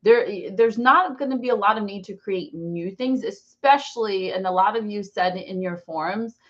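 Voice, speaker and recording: A woman in her forties with an American accent, teaching an informal online class in a chatty, rambling, expressive way. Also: mid-range voice, moderate pace, highs cut off. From born in Brazil, raised in New Jersey, US. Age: 40s. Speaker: online course instructor.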